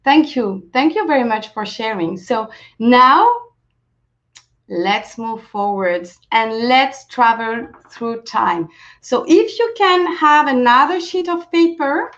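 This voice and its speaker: A French-accented woman with a fairly high voice guides a webinar as a self-leadership coach. She talks in a gently encouraging way and thanks people for sharing. Her voice comes through a dull, lo-fi video call. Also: lively intonation, slow. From France. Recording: lo-fi webinar audio.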